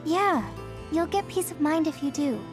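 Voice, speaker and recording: A woman's voice: sits high, animated, normal speed, music in the background. A woman playing a caring, upbeat young female squad mate in a video game, friendly and direct. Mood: helpful. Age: young adult. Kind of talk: video game cutscene voice acting.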